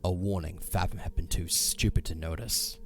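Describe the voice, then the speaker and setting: A man narrating a fantasy audio drama in a solemn, measured, dramatic storytelling style. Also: deep voice, soft background music.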